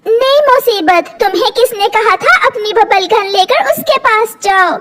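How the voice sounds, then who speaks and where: A woman voicing a firm, caring mother sparrow in a cartoon, brisk and scolding. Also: very high voice, animated, heavy background noise.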